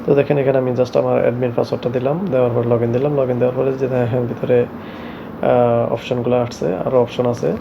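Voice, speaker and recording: A man presenting a hands-on tech tutorial in a halting, repetitive, step-by-step way. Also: mid-range voice, flat delivery, vocal fry, dull audio, background noise. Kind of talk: hands-on product tutorial narration. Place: shop, indoors.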